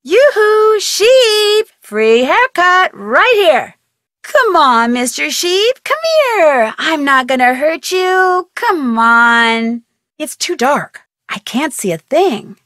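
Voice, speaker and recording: A woman's voice: high voice, big pitch swings, slow, fairly bright tone, no room sound, studio quality, heavily acted. A woman playing a plucky, polite young amateur detective in a video game, earnest and thinking aloud. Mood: coaxing. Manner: earnest, crisply phrased, self-narrating.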